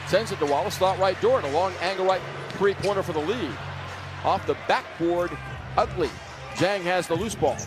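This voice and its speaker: A man with an American accent calling basketball live on radio as play-by-play announcer, in clipped vivid phrases full of catchphrases. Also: high voice. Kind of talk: fast live radio sports commentary.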